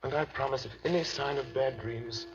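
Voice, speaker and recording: An actor playing an earnest, anxious young doctor in a 1930s radio drama, with a solemn, measured delivery. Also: male voice, mid-range voice, background noise, close to an everyday voice. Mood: tender, reassuring. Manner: solemn, measured diary narration. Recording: dull vintage 1930s radio recording.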